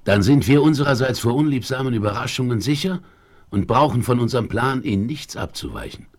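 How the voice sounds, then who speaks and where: An actor playing a calm, resolute frontier hero in a radio play, authoritative and courteous, with a husky voice. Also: male voice, mid-range voice, fairly quick, lightly acted.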